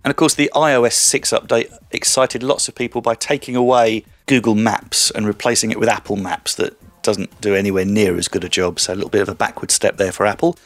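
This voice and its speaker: A man's voice: fairly low pitch, fairly even intonation, a little fast, hardly any pauses, no room sound. A man presenting a tech show year in review, with breezy, teasing banter with his co-host.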